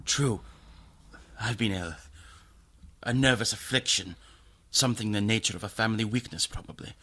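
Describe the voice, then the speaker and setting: Nervous ailing aristocrat, husky yet forceful, overwrought, theatrical, morbidly sensitive, radio drama. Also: male voice, fairly low pitch, moderate pace. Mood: brooding. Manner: theatrical, overwrought, swings from rapture to gloom.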